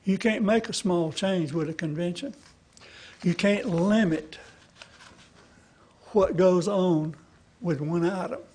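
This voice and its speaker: A man's voice: sits high, takes it slowly, long pauses, a little mellow, breathy edge. An older man gives rambling, folksy public testimony at a podium, often checking in with his listeners. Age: older, 70s or above. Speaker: citizen activist testifying to a legislative committee. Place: large indoor hearing room with seated audience. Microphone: gooseneck podium microphone.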